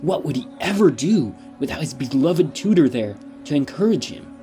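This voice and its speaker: A man's voice: a little high, very animated, normal speed, warm tone, soft background music. A man reading scripted storybook narration for an audio drama.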